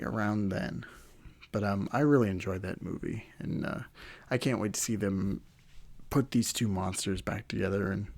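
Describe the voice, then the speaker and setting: A low-pitched man talks casually as a solo podcast host. His voice is a little nasal, as if from a runny nose, and he rambles conversationally, hesitating as he finds his way through each thought. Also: vocal fry, darkish tone.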